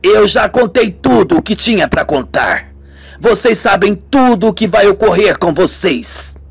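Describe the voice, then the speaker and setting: Radio serial part: man as suspicious old shopkeeper lady, fussy, curt, high-pitched, drawn-out words. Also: lively intonation, gravelly, husky, heavily acted. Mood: wary, indignant. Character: wary elderly Japanese woman shopkeeper, fussy. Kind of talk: radio melodrama acting.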